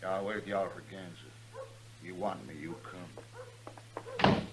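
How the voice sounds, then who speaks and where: An actor playing a sullen, muttering hired gunman with a husky voice in a 1950s radio western. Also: deep voice, measured pace, slight background noise, low-quality recording. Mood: surly.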